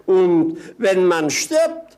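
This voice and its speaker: A man's voice: high-pitched, very animated, dark tone, exaggerated voice. A man playing a roguish, clownish servant in a German radio drama, punning and mocking in a droll, theatrical manner. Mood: gleeful, droll. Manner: droll, drawn-out, theatrical swoops. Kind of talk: German stage-classic radio drama acting.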